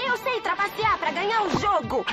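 A woman's voice: extremely high pitch, very animated, normal speed. A woman with a Brazilian accent voicing a spoiled, bossy little girl in a cartoon dub, taunting and scheming. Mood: smug, taunting. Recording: loud cartoon music behind.

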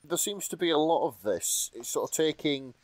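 A man co-hosting a podcast in relaxed, casual conversation. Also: fairly high pitch, moderate pace, no echo. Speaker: podcast co-host. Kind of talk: casual chat.

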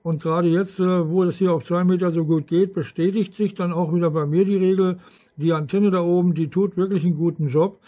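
A man's voice: sits high, steady tone, fairly quick, dark tone. A man chatting casually as an amateur radio operator, rambling along and often tacking questions onto his sentences. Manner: rambling, frequent tag questions. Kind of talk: casual ham radio chat.

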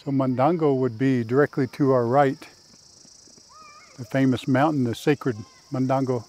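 A man's voice: medium pitch, fairly even intonation, moderate pace, a little mellow. An older man hosting a casual walking tour outdoors on a lapel mic, folksy and plain-spoken. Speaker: vlog host and tour guide. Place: outdoors in a park.

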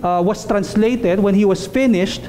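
High-voiced, mellow man preaching. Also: measured pace. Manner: earnest, emphatic, repeats phrases for stress. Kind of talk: sermon. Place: small church hall, indoors.

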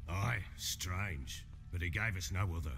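An actor playing a burly, blunt middle-aged baron in a video game, gruff and earthy in the way he talks. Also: low-pitched, unhurried, a bit bright, soft background music, full character voice. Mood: wry storytelling. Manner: earthy, storytelling. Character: burly gruff baron, blunt, jovial, world-weary older man. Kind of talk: video game dramatic dialogue.

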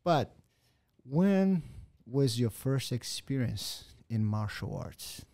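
Low mellow-voiced host, halting phrasing. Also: male voice, big pitch swings, gravelly. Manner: searching, halting phrasing with non-native grammar. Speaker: podcast host and jiu-jitsu instructor. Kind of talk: interview conversation. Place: podcast studio in a martial arts gym. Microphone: boom-arm studio mic with headphones.

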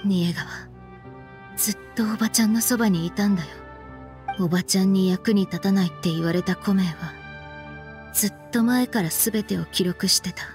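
An actress playing a stern, frank young woman in an anime game, speaking in a firm, reproachful, deliberate way. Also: medium pitch, darkish tone, soft background music, lightly acted. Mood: stern, solemn. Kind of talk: anime game voice acting, dramatic dialogue.

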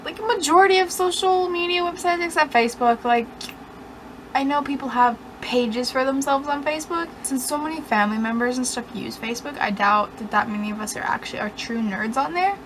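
A woman's voice: high-pitched, brisk, a little hiss or hum, low-quality recording. A young woman vlogging from her bedroom, rambling in a sarcastic, self-mocking way. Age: young adult. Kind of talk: casual chat to camera. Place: dim bedroom, indoors.

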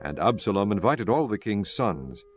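Low-voiced male scripture narrator. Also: sing-song, normal speed. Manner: formal, solemn storytelling. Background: background music.

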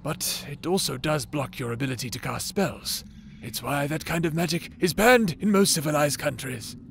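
A man's voice: on the high side, normal speed, vocal fry, slight background noise. A man playing a probing, taunting figment of someone's thoughts in an audio drama, speaking formally with archaic phrasing. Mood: measured, cautioning. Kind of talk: audio roleplay drama acting.

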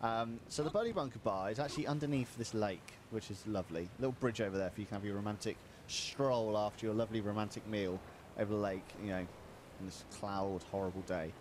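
A man's voice: fairly low pitch, quick pace, background noise. A young man co-hosting a casual game stream from a small room at home, jokingly guiding viewers around a build as he plays. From United Kingdom. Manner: joking, chatty tour guide. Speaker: streamer co-host.

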